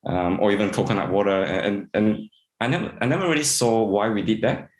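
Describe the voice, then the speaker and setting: A man from Singapore, an integrative veterinarian, answering interview questions in a halting way with many repeated words and fillers. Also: on the low side, roomy sound.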